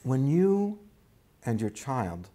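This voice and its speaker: A man in his sixties with a husky, gravelly voice, giving a thoughtful, measured interview. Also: medium pitch, big pitch swings, dark tone. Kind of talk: reflective interview. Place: indoors, office.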